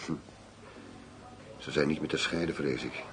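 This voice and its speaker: A world-weary undercover agent in a radio play. His voice is very low, his manner terse and detached. A faint rumble of noise sits behind him. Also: fairly even intonation, moderate pace, fairly bright tone. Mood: weary, cynical. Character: guarded, world-weary male undercover police agent posing as arms dealer.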